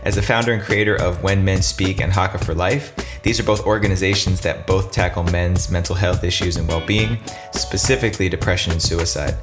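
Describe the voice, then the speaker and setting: Deep-voiced earnest man hosting a podcast. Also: fairly even intonation, brisk, few pauses, music in the background. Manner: earnest, enthusiastic, effusive praise. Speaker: podcast host. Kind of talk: podcast intro and interview.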